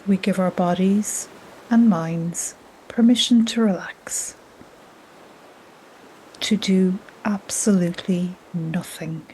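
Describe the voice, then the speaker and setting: A woman guiding a yoga nidra relaxation in a soft, breathy, half-whispered, soothing manner. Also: fairly low pitch, takes it slowly, long pauses, poor audio. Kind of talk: guided relaxation meditation. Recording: steady soft noise like ocean waves. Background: gentle ocean waves.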